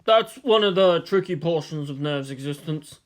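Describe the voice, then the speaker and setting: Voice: medium pitch, expressive, moderate pace, close to an everyday voice. A narrator voicing a rotund male film director who explains things in a wry, matter-of-fact way.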